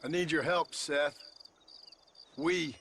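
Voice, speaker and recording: An actor playing a steady, terse, world-weary gunman with an American accent in a Wild West video game. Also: fairly high pitch, slow pace, vocal fry, slight background noise, full character voice. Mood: questioning. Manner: calm, curt, matter-of-fact. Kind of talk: dramatic video game acting.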